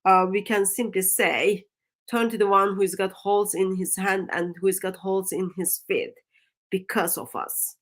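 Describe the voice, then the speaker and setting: A woman with a Turkish accent, a native Turkish speaker, hosting a religious discussion show in a deliberate, emphatic way that leans on repeated phrases. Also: medium pitch, steady tone. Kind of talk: live discussion with evangelistic exhortation.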